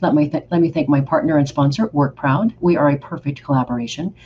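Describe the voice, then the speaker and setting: A woman's voice: low-pitched, fast. Earnest American woman hosting a podcast. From Oregon, USA. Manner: earnest, enthusiastic, some restarts. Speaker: podcast host. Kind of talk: warm interview chat. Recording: slightly dull audio with faint hum.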